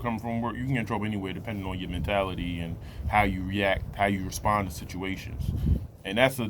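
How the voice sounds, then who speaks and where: A man's voice: on the low side, noisy background. A man in his late thirties talking casually and off the cuff to viewers, using colloquial street slang. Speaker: vlogger giving a personal testimony. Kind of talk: casual unscripted personal talk to viewers.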